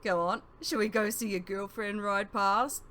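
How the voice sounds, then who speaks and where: A woman reading an audiobook, voicing an excitable young male friend in urgent, exclamatory dialogue. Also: measured pace, slight background noise, natural voice. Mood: teasing. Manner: exclamatory, urgent, voice pushed high. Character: Talgith, excitable young male friend, teasing, shrieking in panic.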